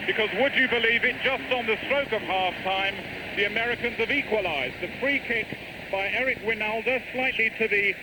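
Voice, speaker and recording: Male football reporter, high raised voice, live match report, clearly noisy shortwave signal. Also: normal speed. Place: football stadium. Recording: noisy shortwave radio broadcast.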